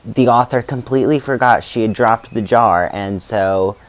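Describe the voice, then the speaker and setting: A man talking in a chatty, informal and halting way, giving casual opinions as a book vlogger. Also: fairly low pitch, noisy background.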